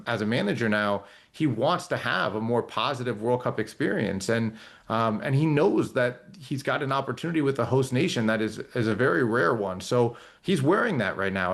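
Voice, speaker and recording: A man, a soccer reporter joining a talk show as a guest, speaking in a thoughtful, rambling way with frequent restarts and fillers. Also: medium pitch. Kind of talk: casual talk show chat.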